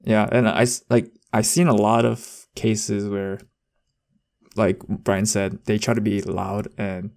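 A man's voice: lowish voice, flat delivery, takes it slowly, creaky, a little mellow. A young man chatting casually as a podcast co-host, rambling with lots of fillers and restarts. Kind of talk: casual chat.

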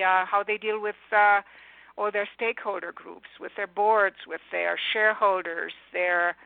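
A woman's voice: medium pitch, no room sound. A woman in her sixties with a Romanian accent, a guest executive coach answering interview questions articulately with many fillers and restarts. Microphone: telephone. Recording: tinny phone line.

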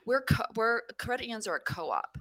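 A woman's voice: on the high side, lively intonation, normal speed, sharp tone. A woman from New Mexico, a credit union CEO, answering interview questions in a rambling way with many fillers.

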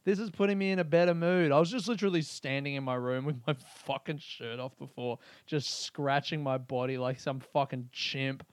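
A man's voice: medium pitch, a little fast, hardly any pauses, dry recording. A man hosting a podcast, rambling through a casual comedic monologue and swearing freely while he laughs at his own jokes. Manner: crude, swears a lot, laughs often; animated, rambling storytelling. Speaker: podcast host.

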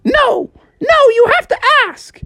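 A bossy snow woman with a shrill, squealing voice that leaps up high and swoops around. She is proud and grabby, with a cackle never far off. The sound is close and dry, with no room around it. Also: sing-song, slow, creaky, fairly bright tone, dry recording, exaggerated voice. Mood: indignant. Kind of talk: improvised bedtime storytelling.

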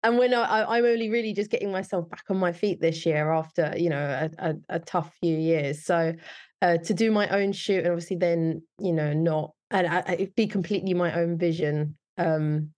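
A woman with a British accent and a slightly low voice chats informally as an interview guest. Her speech is loose and conversational, peppered with little everyday filler phrases. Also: normal speed, darkish tone. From United Kingdom. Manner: chatty, informal, frequent "like" and "you know". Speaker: wedding photographer, interview guest.

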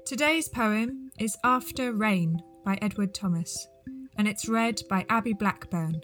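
A woman's voice: mid-range voice, a little slow, fairly bright tone. A woman reading a scripted podcast introduction as its host. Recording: music behind the voice. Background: plucked guitar music.

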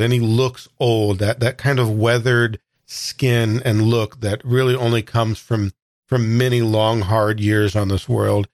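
A man playing a tabletop game, improvising a thoughtful, halting description of his character. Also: medium pitch, no room sound, studio quality. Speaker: guest player in a tabletop game.

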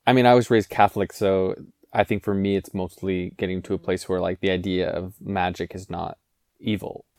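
A young man from Canada talks loosely and casually as a podcast guest, filling his sentences with likes and restarts. His voice is very deep. The recording is close and dry. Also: level tone, normal speed, a little mellow, no room sound. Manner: rambling, many fillers. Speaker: podcast guest, life coach. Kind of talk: casual chat.

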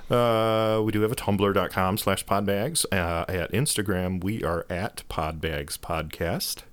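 A man's voice: low voice, a little slow, clear, resonant tone, dry recording. A man co-hosting a casual podcast, reading out the show's links and plugs with hesitant fillers. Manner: hesitant, filler-heavy delivery. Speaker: podcast co-host.

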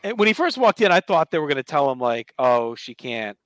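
A man's voice: fairly high pitch, big pitch swings, fairly quick, a bit bright, dry recording. A man hosting a podcast, casually recapping a TV episode and retelling scenes with quoted dialogue. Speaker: podcast host.

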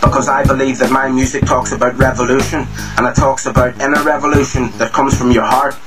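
A man's voice: medium pitch, flat delivery, a little fast, far from the mic, music in the background. A man being interviewed about his song, earnestly explaining its meaning at length. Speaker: musician interviewed. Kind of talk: interview answer.

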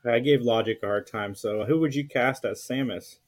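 A man's voice: medium pitch, moderate pace. A man chatting casually as a panelist on a podcast. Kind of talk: casual chat.

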